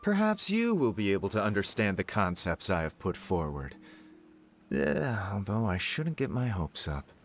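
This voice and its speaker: Smug, pompous candidate for a game; low, lively voice, faint music. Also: male voice, moderate pace, a little hiss or hum. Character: arrogant condescending male job candidate, adult.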